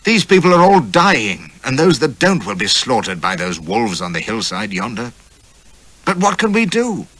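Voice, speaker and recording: A man performs a humane British army officer for an audiobook. He is earnest and anguished, the bright, forceful voice swooping upward in distress. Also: mid-range voice, wildly swooping pitch, normal speed, no room sound, voice pushed up. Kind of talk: audiobook character acting.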